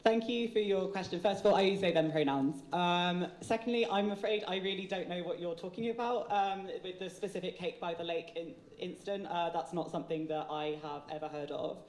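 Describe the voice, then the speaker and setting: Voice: medium pitch, a little fast, hardly any pauses, darkish tone. A candidate earnestly answering a question, restarting phrases now and then. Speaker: election candidate. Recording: echoey hall.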